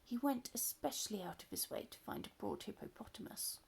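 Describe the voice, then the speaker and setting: A woman reading a children's story aloud in an expressive, playful storytelling manner. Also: mid-range voice, a little fast, gravelly, a little hiss or hum.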